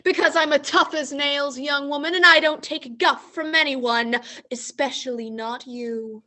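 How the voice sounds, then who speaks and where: A woman's voice: high-pitched, fairly even intonation, measured pace, sharp tone. A woman playing a brash, boastful young female mayor in a school radio play. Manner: swaggering, boastful.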